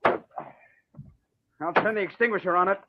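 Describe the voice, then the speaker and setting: An actor playing a gruff, bossy crooked roadhouse boss in an old-time radio drama. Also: male voice, sits high, slow. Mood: frantic, barking. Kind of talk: 1940s radio drama acting. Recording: noisy, muffled old radio recording.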